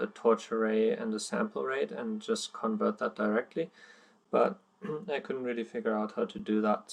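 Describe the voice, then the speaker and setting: A man's voice: lowish voice, vocal fry. A young man whose first language is German, talking through code in a casual tutorial with frequent ums and uhs. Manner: hesitant, many ums and uhs, plain explaining. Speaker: coding tutorial presenter. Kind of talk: screen-recorded coding walkthrough.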